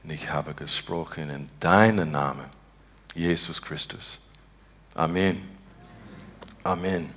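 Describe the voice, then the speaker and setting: A man from Texas with an American accent, a native English speaker, preaching and praying earnestly in halting, broken beginner's speech as he searches for words. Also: extremely low pitch, steady tone, a bit bright. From Texas, United States. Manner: earnest, devout. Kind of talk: informal sermon and spontaneous prayer.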